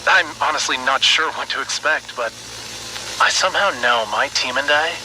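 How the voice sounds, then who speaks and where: A man's voice: medium pitch, moderate pace, lightly acted. Earnest male scientist on a noisy log recording, acted. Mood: fascinated. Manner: hesitant, thoughtful, earnest. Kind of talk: dramatic audio log narration.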